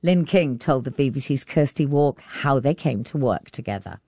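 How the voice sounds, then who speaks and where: A woman's voice: very deep voice, lively intonation, normal speed, mellow, dry recording, clean studio sound. A woman presenting a radio arts programme, reading scripted links and putting questions to guests. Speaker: radio arts show host.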